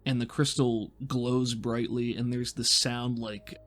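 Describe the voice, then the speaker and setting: A man's voice: mid-range voice, level tone, slow pace, fairly bright tone. A man working as game master, narrating a tabletop game in a descriptive, conversational way as he thinks aloud.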